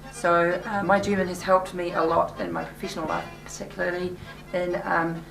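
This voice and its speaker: A woman's voice: fairly low pitch, lively intonation, normal speed, creaky. A woman teacher speaking casually and a little self-deprecatingly. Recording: echoey room, music.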